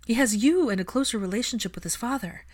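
A woman's voice: a little high, expressive, quick pace, darkish tone, pitched above the performer's own voice. A woman voicing an earnest, grateful young witch with a polite, emotional manner in a story reading. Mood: tearful pride.

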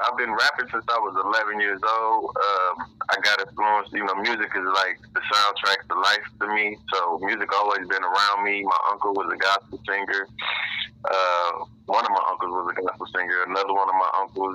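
A man's voice: deep voice, pushed and bright, muffled recording. A man from Illinois, a rapper being interviewed, talking casually in loose street slang. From Illinois, USA. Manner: laid-back street slang, laughs often. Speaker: rapper, guest.

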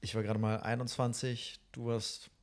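A man's voice: medium pitch, level tone, normal speed. A man in his thirties hosts a casual podcast with a mid-range voice. He sounds enthusiastic and friendly, and laughs easily as he talks with his guest. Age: 30s. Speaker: podcast host.

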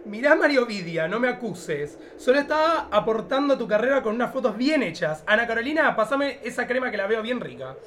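A man's voice: very high voice, very animated, fairly quick, hardly any pauses, fairly bright tone, full character voice. A man playing a vain, carefree young showgirl diva in a campy comic radio play. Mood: bickering, dramatic. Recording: clearly noisy background.

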